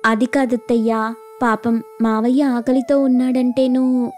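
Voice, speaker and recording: High female voice, actress in role, young daughter-in-law, meek, deferential, kind, cartoon moral tale, light music behind. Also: little pitch movement, measured pace, a little mellow, close to an everyday voice. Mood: pleading. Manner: deferential, timid. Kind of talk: dramatic Telugu moral story cartoon dialogue.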